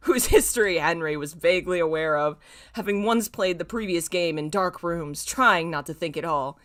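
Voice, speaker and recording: Animated woman reading aloud, bright voice. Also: on the low side. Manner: animated, self-mocking, breaks off to comment on the text. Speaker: YouTube host reading fan fiction aloud. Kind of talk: dramatic story reading with casual, amused commentary.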